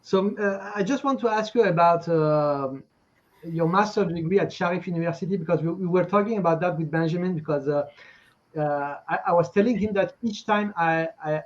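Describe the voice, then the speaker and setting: Male interview host, higher-pitched, halting and effusive, repeats words, muffled lo-fi audio. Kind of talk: live online interview, effusive welcome. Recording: dull, lo-fi call audio.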